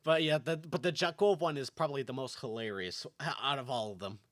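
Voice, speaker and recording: A man's voice: mid-range voice, brisk, slight background noise. A young man from Kosovo chatting casually on a podcast, rambling with lots of fillers and restarts. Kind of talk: casual chat.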